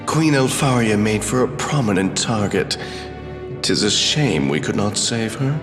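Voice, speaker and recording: A man's voice: fairly low pitch, expressive, unhurried. A man playing a scheming, haughty royal adviser in a video game, speaking in a formal, theatrical, condescending way. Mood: smoothly polite. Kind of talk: anime-style video game voice acting. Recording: echoey reverberant hall, loud music behind.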